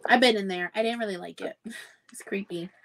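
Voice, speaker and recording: Woman, thirties, book chat co-host: mid-pitched, informal, plain-spoken and easygoing, on a video call from home. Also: a little fast, creaky, a bit bright. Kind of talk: casual livestream chat.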